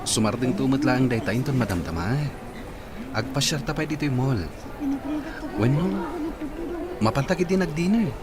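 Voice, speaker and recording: An actor as an easygoing young man with a mid-pitched voice. He is courteous and generous in an amateur audio drama, over clearly noisy ambience. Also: expressive, moderate pace, pauses often, natural voice. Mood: inviting.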